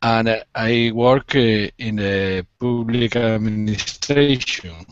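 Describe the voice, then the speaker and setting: Spanish-accented man, fifties, halting English learner, slow, muffled call sound. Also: on the low side, clean tone. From Spain. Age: 50s. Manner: hesitant, searching for English words. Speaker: student.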